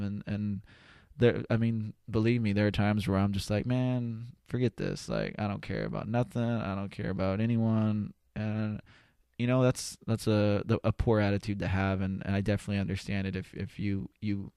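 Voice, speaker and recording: A man talking candidly and reflectively as a podcast guest, haltingly, often falling back on "you know". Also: on the low side, darkish tone, clean tone, no room sound, studio quality. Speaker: interview guest, spoken-word poet. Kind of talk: casual, candid podcast conversation.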